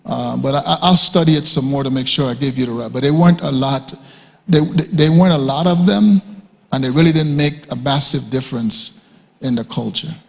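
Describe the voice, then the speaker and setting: A man teaching a sermon at a conference, emphatic and repetitive, often leaping high to act out quoted speakers. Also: brisk, vocal fry, a little mellow. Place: church hall. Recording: reverberant hall.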